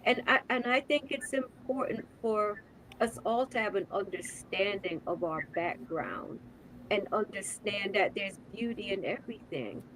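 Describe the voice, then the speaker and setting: A woman's voice: medium pitch, a little slow, crowd noise, rough recording. Earnest woman hosting a podcast. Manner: earnest, emphatic, repeats words when building a point. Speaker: podcast host. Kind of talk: casual conversation.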